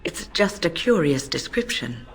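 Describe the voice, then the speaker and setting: A woman's voice: fairly low pitch, sing-song, unhurried, slight background noise. An actress playing a prim, curious older female mage in a fantasy game, with a wry, politely bemused manner. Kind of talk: fantasy video game voice acting.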